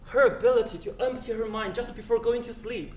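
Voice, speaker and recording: A man's voice: very high-pitched, steady tone, brisk. A man acting an earnest, emotional husband pleading for answers in an afterlife stage play. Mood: wistful. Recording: echoey stage, faint background noise.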